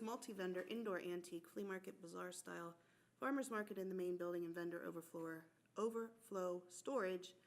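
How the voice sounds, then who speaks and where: A woman at a mid-range pitch runs a borough council meeting, moving briskly from one agenda item to the next. Her voice comes through noisy room audio with a clear hiss underneath. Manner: brisk, matter-of-fact agenda reading. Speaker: council president chairing a meeting. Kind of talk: running a public meeting agenda.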